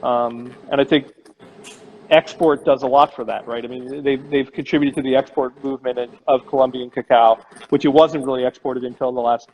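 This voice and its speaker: A man's voice: medium pitch, steady tone, brisk, muffled recording, a little hiss or hum. A man, a chef hosting a casual online interview, speaking in a loose, conversational way with restarts. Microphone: phone on a live video chat.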